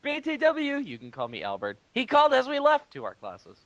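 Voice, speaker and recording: Clear, bright man reading dramatically. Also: extremely high pitch, wildly swooping pitch, brisk, no room sound. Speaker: player in a dramatic reading game. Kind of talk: dramatic reading aloud among friends. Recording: dull, lo-fi microphone sound.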